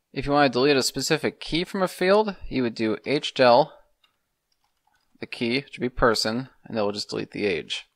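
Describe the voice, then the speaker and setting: A man's voice: lowish voice, fairly bright tone, no echo, studio quality. A man, explaining things methodically in a semi-casual screencast tutorial. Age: 30s. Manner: methodical, step-by-step instruction. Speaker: programming tutorial host.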